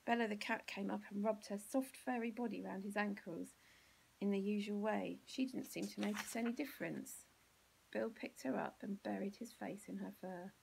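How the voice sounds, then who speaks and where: A woman's voice: medium pitch, steady tone, moderate pace, creaky, a little mellow, a little hiss or hum, rough recording. A woman with an English accent reading a children's storybook expressively, as a teacher would to pupils.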